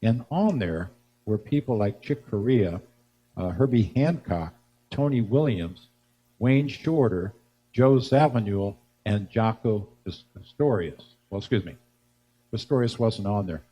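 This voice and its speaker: Mellow, low-voiced older man hosting, humming background. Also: lively intonation, rough recording. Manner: halting, pausing to recall details. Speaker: host of a live music show. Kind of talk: rambling spoken music-history introduction. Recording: noticeable background noise and hum.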